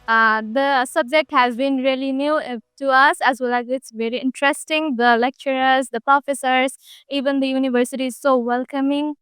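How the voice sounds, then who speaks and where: Nepali student, high smooth voice, dry sound, hesitant answers. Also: female voice, steady tone, normal speed, fairly bright tone, no echo, soft background music. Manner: hesitant, many fillers. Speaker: master's student. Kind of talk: casual interview answers.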